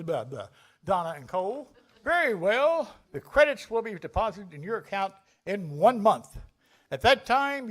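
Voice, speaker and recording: A man performs a showy fairy-tale host for a stage parody. The voice sits high and swings widely in pitch, announcing every turn with theatrical emphasis. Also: a bit bright, heavily acted. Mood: grand, bargaining. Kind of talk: theatrical scripted narration.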